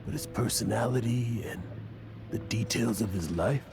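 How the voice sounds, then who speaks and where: An actor voicing a weary old tavern storyteller in a game, halting and confessional, over game music. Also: male voice, lowish voice, creaky. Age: older. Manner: halting, confessional, turning emotional. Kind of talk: game voice acting.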